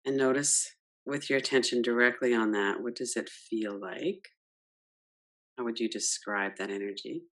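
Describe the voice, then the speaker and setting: A woman leading a guided yoga nidra meditation, gentle and unhurried, guiding with soft questions. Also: extremely low pitch. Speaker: meditation teacher.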